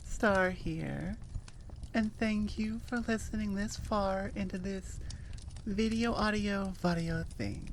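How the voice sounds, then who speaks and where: A woman's voice: mid-range voice, takes it slowly, slight background noise. A woman audio creator chatting informally to her listeners in a rambling, self-effacing way. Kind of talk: casual, chatty aside to listeners.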